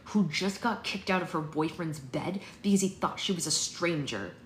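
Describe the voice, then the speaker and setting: A woman's voice: lowish voice, lively intonation, moderate pace, close to an everyday voice. A fiery, wounded grown daughter from a storybook, acted by a woman reader. She is blunt and emphatic, heard in a roomy space with faint noise. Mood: furious.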